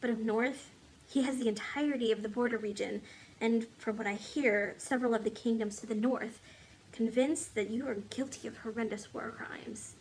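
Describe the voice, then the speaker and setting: Woman voicing an earnest, loyal storybook heroine, raised pitch. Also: steady tone, moderate pace, slight background noise, close to an everyday voice, low-quality recording. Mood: grave, informative. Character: loyal, determined woman, wise old friend of a king. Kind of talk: storybook character dialogue.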